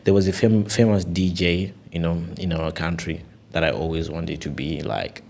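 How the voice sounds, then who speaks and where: A man's voice: low voice, normal speed, creaky, a little mellow, a little hiss or hum. A young man talking earnestly and with animation in a casual podcast conversation, often saying "you know".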